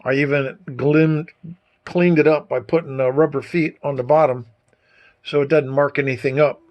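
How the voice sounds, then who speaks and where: A man with a somewhat higher-pitched voice talks through a homemade hobby demonstration. He is informal and folksy, explaining each step in a casual way as he shows it on a handheld camera indoors. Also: fairly even intonation, moderate pace, muffled recording. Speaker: amateur radio hobbyist presenter. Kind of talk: casual hands-on demonstration talk.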